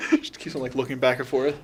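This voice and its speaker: A man's voice: medium pitch, wildly swooping pitch, fairly quick, heavy background noise. A man acting as game master, improvising casual tabletop narration and searching for words as he goes.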